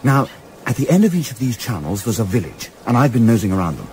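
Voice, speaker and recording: Keen yachtsman played by a man, animated delivery. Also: mid-range voice, fast, slight background noise, lightly acted. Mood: keen, confident. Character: keen, plain-spoken Edwardian English yachtsman, loyal amateur sleuth. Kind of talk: radio play acting.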